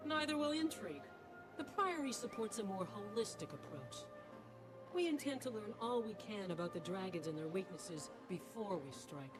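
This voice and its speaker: An actress playing a formal, scholarly researcher in a fantasy video game, precise and emphatic. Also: female voice, medium pitch, sing-song, moderate pace, over music, lightly acted. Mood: firm, reasoning. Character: scholarly, formal female member of a research order.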